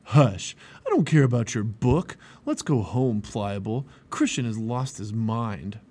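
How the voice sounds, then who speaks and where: A man voicing a stubborn, strong boy who is gruff, bossy and scornful, in a children's story. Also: lowish voice, takes it slowly, darkish tone.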